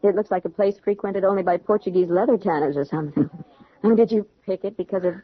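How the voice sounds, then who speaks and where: An actress playing an indignant, sharp-tongued young woman with a direct, sardonic manner in a radio drama. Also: medium pitch, fast talker, dry recording, lightly acted. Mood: sceptical, sardonic. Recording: muffled old radio recording.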